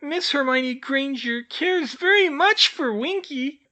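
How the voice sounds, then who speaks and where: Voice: high voice, takes it slowly, pushed and bright, lo-fi sound, voice pushed up, heavily acted. A reader voicing Winky, a tearful tipsy female house-elf who sniffles and stammers. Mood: weepy.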